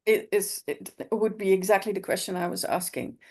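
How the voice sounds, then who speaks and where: A woman with a Dutch accent, speaking politely and a bit haltingly as she asks questions on a video call. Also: medium pitch, steady tone, a little mellow, breathy edge. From Netherlands. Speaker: investor asking questions on the call. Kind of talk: casual remarks on a video call.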